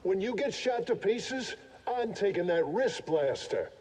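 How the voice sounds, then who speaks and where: A man's voice: high voice, very animated, unhurried, darkish tone. A man playing a cynical, self-interested mercenary raider in a video game, grumbling in sardonic, colloquial speech. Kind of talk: video game character dialogue. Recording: reverberant game capture, faint noise.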